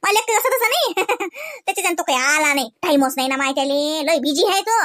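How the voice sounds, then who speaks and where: A woman's voice: sits high, lively intonation, fairly bright tone, dry recording, studio quality. A woman voicing a talkative village aunt who is in a hurry to leave, speaking. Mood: boastful. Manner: boastful, talkative, drawn-out village speech.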